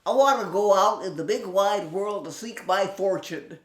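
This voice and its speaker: A woman's voice: medium pitch, normal speed, reverberant, pitched above the performer's own voice, close to an everyday voice. A woman voicing a boastful young boy piglet who speaks eagerly.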